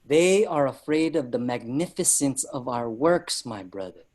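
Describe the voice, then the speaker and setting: Actor as earnest young Filipino migrant organizer. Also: male voice, mid-range voice, expressive, a little slow, darkish tone. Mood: solemn. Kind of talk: dramatic staged reading, first-person narration. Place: virtual live event, remote reading.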